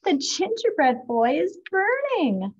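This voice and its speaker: A woman's voice: fairly high pitch, expressive, a little slow, a little mellow, breathy edge, muffled recording. A woman voicing a fussy little old woman baking gingerbread, with a homely, exclaiming manner.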